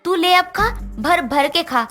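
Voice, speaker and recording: Casting: female actor as young put-upon housewife, high, sharp-edged voice, melodramatic cartoon delivery, music underneath. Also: animated, slow pace, exaggerated voice. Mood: fierce, vengeful. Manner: dramatic, swings from meek pleading to menace. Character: put-upon young daughter-in-law, tearful, turning fierce when possessed. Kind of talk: Hindi moral-story cartoon dubbing.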